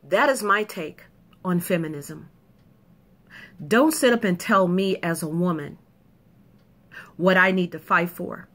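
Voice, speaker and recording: A woman's voice: fairly low pitch, a bit bright. A woman delivering an emphatic, punchy opinion monologue to camera, repeating points for effect. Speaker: video commentator.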